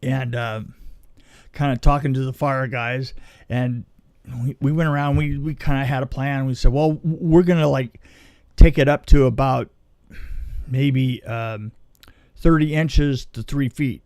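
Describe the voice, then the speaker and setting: A man telling a story casually on a podcast, in a halting, rambling way that often restarts mid-sentence. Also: mid-range voice, steady tone, darkish tone, no echo. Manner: halting, rambling, self-interrupting delivery. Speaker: film special effects technician telling a story.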